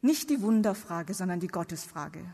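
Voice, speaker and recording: A woman's voice: lowish voice, expressive, normal speed, warm tone, husky. A woman who is a non-native German speaker preaches theology to an audience with emphatic rhetorical questions. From outside Germany. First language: not German. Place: large reverberant hall before seated audience. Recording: long reverb of a large hall.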